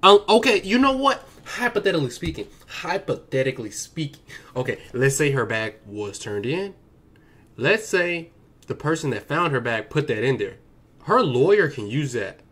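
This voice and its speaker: A man's voice: on the high side, very animated, normal speed, fairly bright tone. A young man reacting to a video from his bedroom, joking and slangy, speaking into a headset mic. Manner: joking, slangy, talks to viewers as "bro". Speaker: reaction streamer. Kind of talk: casual reaction commentary. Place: bedroom streaming setup.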